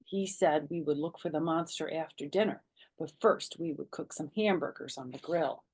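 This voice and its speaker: A woman's voice: deep voice, normal speed, slightly rough. A woman reading a children's chapter book aloud as a storyteller, expressively acting out the characters. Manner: animated, acts out the characters.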